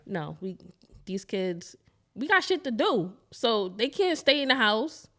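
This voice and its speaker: A woman's voice: a little high, very animated, a little slow, a bit bright. A woman on a podcast panel, telling stories emphatically in casual chat. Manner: emphatic storyteller.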